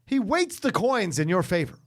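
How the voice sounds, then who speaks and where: A man co-hosting a podcast with playful, animated, casual banter. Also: high voice, moderate pace. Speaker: podcast co-host.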